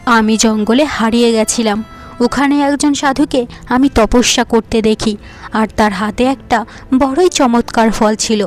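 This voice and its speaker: Woman voicing an earnest, high-voiced young niece. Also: steady tone, measured pace, faint music behind, close to an everyday voice. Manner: earnest, persuasive.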